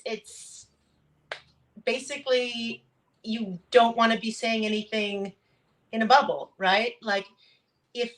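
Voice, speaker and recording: A woman's voice: mid-range voice, fairly bright tone, poor audio. A woman speaking as a guest voice actor in a casual interview, earnest and reflective, often checking her points with a short tag question. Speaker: guest voice actor, audiobook narrator.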